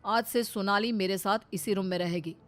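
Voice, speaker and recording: A woman's voice: mid-range voice, fairly even intonation, normal speed, soft background music, natural voice. A woman voicing a cold, domineering young husband in a storybook drama, with a curt, commanding manner.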